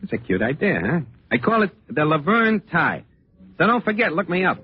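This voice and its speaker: A man's voice: on the high side, sing-song, normal speed, slightly rough, full character voice. Glib small-time salesman, old radio. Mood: breezy, glib. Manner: folksy, conversational, glib patter. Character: chatty small-time necktie salesman, slyly breezy storyteller. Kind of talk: 1940s radio acting. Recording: muffled old radio recording, faint music.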